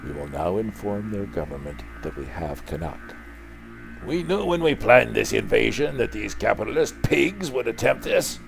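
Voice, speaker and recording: Man reading as a cold, gravelly villain commander. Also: fairly low pitch, big pitch swings, music in the background. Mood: menacing. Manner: menacing, declamatory, deliberate. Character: cold, zealous male enemy commander, villainous ideologue. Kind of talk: dramatic comic book reading.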